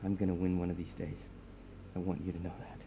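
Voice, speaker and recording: A man playing a pompous, self-righteous superhero in an audio drama, husky and threatening. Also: deep voice, completely flat delivery, fast talker, warm tone, slight background noise.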